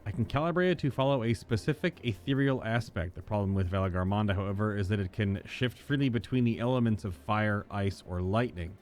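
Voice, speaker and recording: A man reading game dialogue as a learned, methodical tracker, in a measured, formal, explanatory way. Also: on the low side, a little mellow, close to an everyday voice. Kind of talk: game dialogue read aloud.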